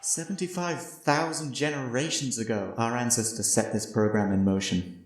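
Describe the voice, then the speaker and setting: A man's voice: medium pitch, steady tone, normal speed, natural voice. A man playing a wonderstruck, somewhat dazed alien programmer in an amateur radio play. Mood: awed. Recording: echoey room.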